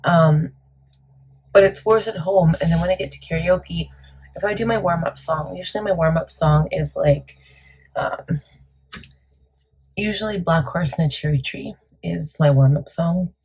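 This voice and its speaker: Beauty vlogger, female, deep and husky, warm mellow tone, chatty and meandering. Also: a little slow, long pauses, low-quality recording. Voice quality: gravelly, deep. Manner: chatty, rambling, many fillers. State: morning voice, a bit crackly. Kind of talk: casual makeup tutorial chat.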